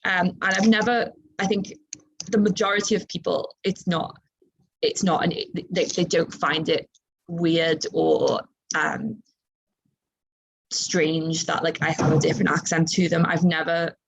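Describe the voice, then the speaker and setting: A young woman with a softened Liverpool accent, talking informally on a student panel in a chatty, halting, self-correcting way. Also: lowish voice, steady tone, creaky, highs cut off, a little hiss or hum. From Liverpool, England. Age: young adult.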